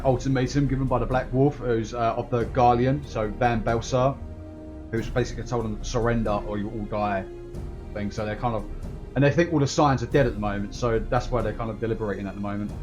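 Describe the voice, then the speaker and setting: A man's voice: medium pitch, steady tone, moderate pace, a little mellow. A man gives informal commentary as a game streamer. He explains things in a hesitant, casual way with music in the background.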